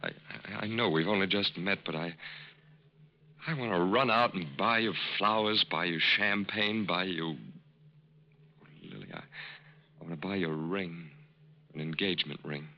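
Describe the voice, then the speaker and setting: An actor in an old radio drama playing a smooth con artist posing as a lovesick army major, halting and pleading. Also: male voice, low voice. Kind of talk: mid-century American radio drama acting. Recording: muffled, noisy old radio recording.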